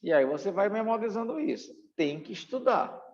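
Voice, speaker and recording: A man's voice: high voice, animated, moderate pace. A Brazilian man giving an exam-prep lecture as a teacher, in a didactic, emphatic way that repeats key points. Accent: Brazilian Portuguese. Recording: dull, muffled, long room reverb.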